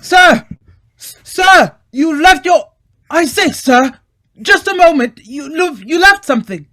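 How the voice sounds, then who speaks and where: An actor playing a breezy, affable British gentleman in old-time radio drama style, hearty and polite, with old-fashioned phrasing. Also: very high voice, lively intonation, slow, full character voice. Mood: calling out.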